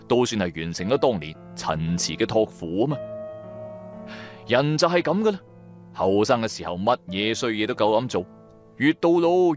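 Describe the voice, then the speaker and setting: An actor with a Cantonese accent playing a streetwise, wry businessman turned tomb raider, narrating in colloquial first person for a radio drama. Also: on the low side. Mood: storytelling, then grave. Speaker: narrator and main character of an audio drama.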